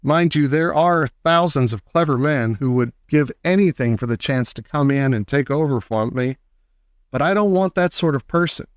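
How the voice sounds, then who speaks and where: Storybook role, man performing: kindly, eccentric old inventor, emphatic and brisk, higher-pitched, recorded dry. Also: clear, resonant tone, dry recording, close to an everyday voice. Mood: earnest, confiding. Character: eccentric, eager old chocolate factory owner, kindly but brisk.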